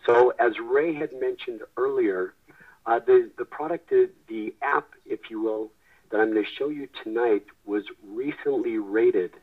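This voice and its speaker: A man with a lowish voice that is raspy from a head cold, presenting a sales webinar. He speaks earnestly and often restarts his sentences. The sound comes over a tinny phone line. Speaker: webinar presenter, company founder.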